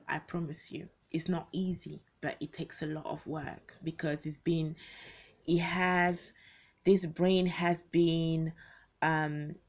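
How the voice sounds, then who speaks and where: A woman in her late twenties speaks in a low register at an unhurried pace. She talks without a script to an online audience, conversationally, circling back to rephrase and putting questions to the listener. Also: creaky. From born in Congo, grew up in Belgium, moved to the UK as a teenager. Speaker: video host speaking to followers. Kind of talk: unscripted personal talk to an online audience.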